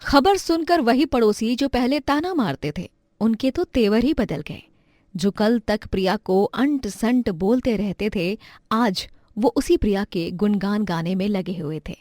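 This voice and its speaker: Calm female story narrator. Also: mid-range voice, measured pace, no echo, studio quality.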